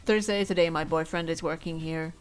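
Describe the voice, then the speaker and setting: A woman's voice: low voice, steady tone, a little fast, clean tone. A young woman streaming from home, chatting casually and rambling in a self-deprecating way. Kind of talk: casual chat to stream viewers. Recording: faint music behind.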